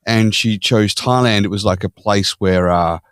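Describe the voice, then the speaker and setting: An Australian man with a fairly low voice talks through his life story as a podcast guest. He is easygoing and laughs often. The sound is close and dry. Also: measured pace, a bit bright, clean tone, dry recording. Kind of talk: casual interview chat.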